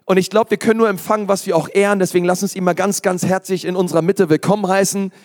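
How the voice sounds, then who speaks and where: A man's voice: sits high, fairly even intonation, quick pace, a little mellow. A man from Berlin introducing a guest pastor to a church congregation in an effusive, hype-filled way. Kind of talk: stage introduction. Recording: long reverb of a church hall.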